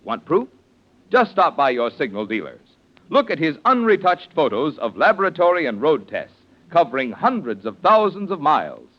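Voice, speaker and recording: A man's voice: a little high, big pitch swings, normal speed, fairly bright tone. A man announcing a 1940s radio commercial in an emphatic, salesmanlike manner. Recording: muffled vintage radio recording.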